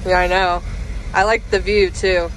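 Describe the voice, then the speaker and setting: A woman's voice: fairly low pitch, little pitch movement, a little slow, rough recording. A young woman in her twenties chatting playfully and teasing on a phone camera at a muddy farm equipment auction, laughing often. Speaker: farm vlogger. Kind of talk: casual vlog chat. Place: outdoors at a muddy equipment auction, partly inside tractor cabs. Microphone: handheld phone camera. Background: vehicle and outdoor noise.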